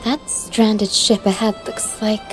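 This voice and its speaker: An actress voicing a gentle, solemn young woman guide in a video game, speaking in a measured, earnest way. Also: mid-range voice, fairly even intonation, close to an everyday voice. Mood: solemn, reflective. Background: music.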